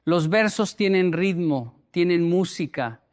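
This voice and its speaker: Higher-pitched man giving a deliberate motivational talk. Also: expressive. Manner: deliberate, emphatic, repeats phrases for effect. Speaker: motivational speaker.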